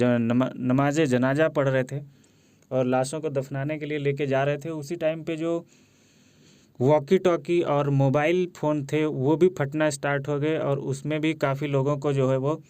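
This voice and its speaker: A man's voice: mid-range voice, a little mellow, smooth, no room sound. A man hosts a casual news explainer video. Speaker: video host.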